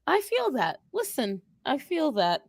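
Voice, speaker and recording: A woman's voice: sits high, wildly swooping pitch, a little slow, no room sound, heavily acted. A woman voicing a brash, sassy young woman spellcaster who teases and swears in improvised banter. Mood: dry musing. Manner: cocky, mocking, teasing, profane.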